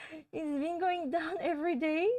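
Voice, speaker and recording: Slow-talking French woman hosting a livestream. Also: extremely high pitch, fairly even intonation, dry recording, distant mic. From France. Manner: gentle, chatty, laughs often, talks softly to her pets. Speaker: livestream host. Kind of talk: casual live chat answering viewers.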